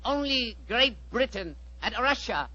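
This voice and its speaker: A man's voice: very high-pitched, sing-song, measured pace, bright, forceful tone, exaggerated voice. An actor playing a haughty, commanding male Chinese emissary with a clipped, imperious manner, in 1940s radio style. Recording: muffled old 1940s radio broadcast.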